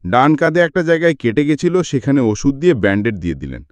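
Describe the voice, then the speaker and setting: A man giving an expressive scripted narration of a story as an audiobook reader. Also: mid-range voice, quick pace, dry recording.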